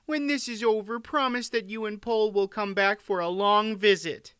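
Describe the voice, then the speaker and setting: A man plays a plump little storybook queen, her voice pitched high and bright. She is fretful and fond, pleading with a quiver as she fusses over those she loves. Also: steady tone, moderate pace, clean tone, dry recording, clean studio sound. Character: plump, tearful, fussing little queen, affectionate wife.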